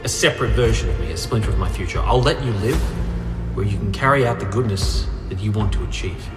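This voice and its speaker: A man's voice: lowish voice, quick pace, natural voice. An actor playing a resolute man haunted by his future in an audio drama, grave and determined. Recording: echoey hall reverb, music behind.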